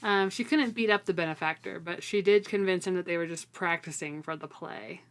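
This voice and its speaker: Female co-host, slightly low register, informal book-club chat with emphatic, slangy phrasing. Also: fairly quick, clean tone. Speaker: podcast co-host. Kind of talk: casual book discussion chat.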